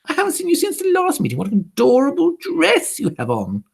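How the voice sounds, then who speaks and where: A man's voice: extremely high pitch, wildly swooping pitch, fairly quick, creaky, voice pushed up, heavily acted. A man voicing a gushing, fussy society lady greeting her friends in a children's storybook. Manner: effusive, fussy, cooing.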